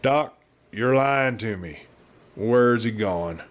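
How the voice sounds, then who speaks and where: An old western cattleman , acted out by a male narrator, gaunt and demanding. He whines and bursts out by turns, repeating himself as he insists on answers. It is storybook character work at a middle pitch. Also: lively intonation, slow pace. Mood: slow, suspicious. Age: older. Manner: whining, explosive, repetitive pleading.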